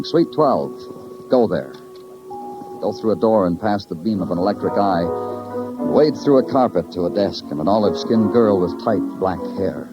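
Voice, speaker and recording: A wry American detective tells his case in clipped, literary deadpan. His voice sits a little low, in a dull old radio drama recording with prominent music behind him. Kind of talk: 1950s radio noir acting and narration.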